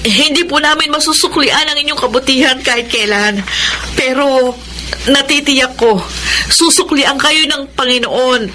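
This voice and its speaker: Grateful, high-voiced Filipina. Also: female voice, measured pace, a little hiss or hum, rough recording. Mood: moved, grateful. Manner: sincere, warm, respectful. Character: grateful, devout young woman, hardworking elder sister. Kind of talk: Filipino radio drama acting and narration.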